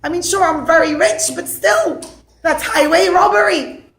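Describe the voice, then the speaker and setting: A woman's voice: very high-pitched, moderate pace, creaky, reverberant, a little hiss or hum, pitched above the performer's own voice, exaggerated voice. Woman playing a blustering rich old father. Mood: indignant. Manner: exasperated, shouting in disbelief.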